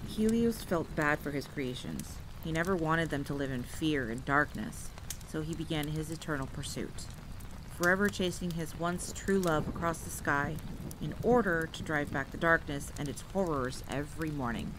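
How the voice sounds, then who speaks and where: A woman's voice: moderate pace, lightly acted. A woman playing an exasperated veteran monster hunter in an audio roleplay, wry and teasing, solemn when telling lore. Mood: solemn storytelling. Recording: steady rain and rumble behind. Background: rain, campfire ambience.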